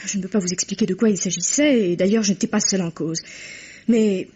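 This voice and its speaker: A woman's voice: medium pitch, quick pace, warm tone, breathy edge, close to an everyday voice. A woman playing a defiant, frightened heiress. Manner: haughty, defiant, tense. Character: frightened, defiant young woman rider, haughty and sharp-tongued. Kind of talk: 1950s French radio drama acting. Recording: dull old radio recording.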